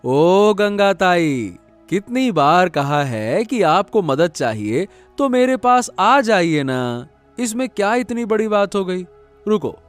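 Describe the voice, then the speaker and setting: A man's voice: high voice, sing-song, slow, soft background music, exaggerated voice. A man voicing a kind, trusting young farmer in a story, good-natured and earnest. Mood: friendly.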